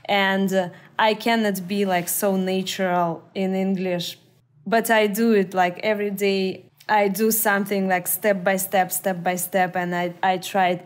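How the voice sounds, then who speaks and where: A woman with a Russian accent hosting a podcast interview, effusive and halting, with many fillers as she searches for words. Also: on the low side. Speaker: podcast host. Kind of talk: casual interview chat.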